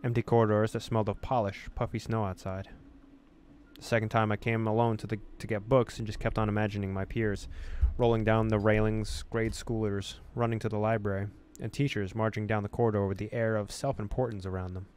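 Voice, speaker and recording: A man's voice: lowish voice, little pitch movement, fairly quick, darkish tone. A man reading a visual novel aloud as a gamer, mixing story narration with casual commentary. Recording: noticeable background noise.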